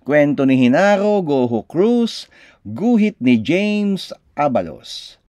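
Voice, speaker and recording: A man with a Filipino accent reading a children's picture book aloud, expressively, with deliberate, drawn-out phrasing. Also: fairly high pitch, big pitch swings, a little mellow.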